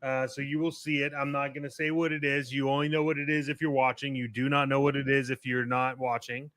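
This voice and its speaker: A man's voice: medium pitch, level tone, brisk, a bit bright, no room sound. A man hosting a podcast, talking casually and emphatically to his listeners as he promotes the show. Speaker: podcast and radio show host.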